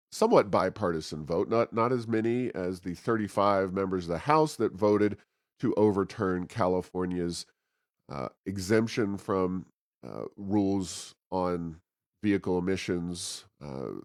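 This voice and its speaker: A man with a deep voice hosts a policy discussion. He phrases things deliberately and haltingly, pausing often as he works through his points. The sound is dry, with very little room in it. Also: a little mellow, no echo. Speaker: podcast host. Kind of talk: political policy commentary in conversation.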